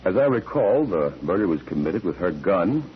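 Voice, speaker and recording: Gentleman lawyer, very low voice, polite, measured, old radio drama, light noise. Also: very animated, highs cut off. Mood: courteous, measured. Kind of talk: 1940s radio acting. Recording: noisy vintage 1940s radio recording.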